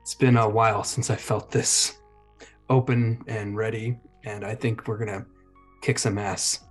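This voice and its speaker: A man improvising dialogue as a tabletop roleplaying player, speaking in a measured, hesitant, earnest way. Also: on the low side, level tone. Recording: music running behind the voice.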